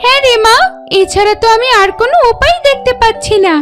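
A woman voicing a short-tempered vixen wife and mother in a children's cartoon, nagging in a shrill, exaggerated way. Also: fast talker, over music. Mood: resigned.

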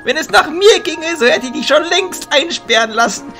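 A man acts out a spiteful teenage boy mage in a fantasy audio drama. The voice is high and sharp, swinging widely in pitch with arrogant scorn. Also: expressive, moderate pace, faint music behind, exaggerated voice. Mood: contemptuous. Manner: mocking, contemptuous, scornful.

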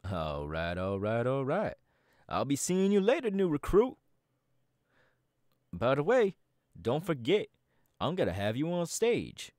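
A man's voice: mid-range voice, huge pitch swings, unhurried, long pauses, darkish tone, no echo, studio quality. A man voice acting a laid-back young male DJ gang boss in an ASMR audio roleplay, talking in an easygoing, chatty drawl. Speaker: voice actor.

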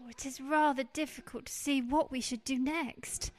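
A woman acting in a radio play as a curious, gently pointed married society woman. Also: high voice, steady tone, normal speed, natural voice. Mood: anxious. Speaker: actress in an amateur radio play.

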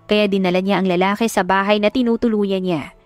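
A woman's voice: mid-range voice, steady tone, fairly quick, darkish tone, dry recording, soft background music. A woman reading a dramatic scripted love story as an audiobook narrator.

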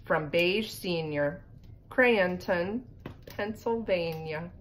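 A middle-aged woman reads a picture book to children at an unhurried pace, in a fairly low voice. She breaks off for casual asides. It is a home phone recording with faint noise behind her. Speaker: read-aloud reader for children. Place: living room by a fireplace. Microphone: phone propped in front of her.

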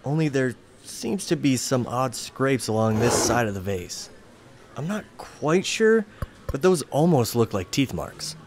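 A man's voice: medium pitch, sing-song, unhurried, slight background noise. A man playing a polite, puzzled antiques appraiser in a comedy sketch.

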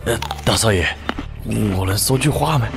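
An actor playing a humble, good-natured old rustic servant in an audio drama, mild and deferential. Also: male voice, fairly low pitch, expressive, moderate pace, gravelly, music in the background. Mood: meek, helpful. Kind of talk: Chinese audio drama acting.

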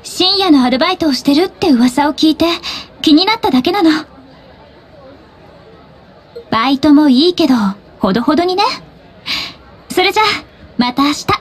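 A woman playing a poised, teasing teenage high school girl in a Japanese anime game, speaking in a composed, politely playful way. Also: animated, a little fast. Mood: gentle.

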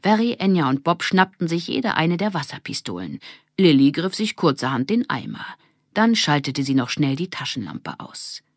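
A woman reading a children's audiobook as an expressive scripted narrator. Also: deep voice, moderate pace, no room sound, studio quality.

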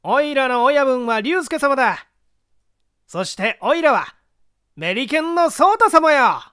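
A reader voicing a brash young tough henchman who shouts and boasts roughly in an audiobook story. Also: sits high, sing-song, a little slow, voice pushed up, exaggerated voice. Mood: defiant, boastful.

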